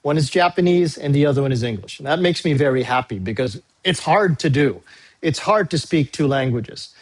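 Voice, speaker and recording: Guy teacher, tagalog first language, slightly high voice, lots of uh, goes over dates twice, recorded class video. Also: animated, fairly quick. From Philippines. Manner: patient, repeats dates and instructions; frequent "uh" fillers. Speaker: university English teacher.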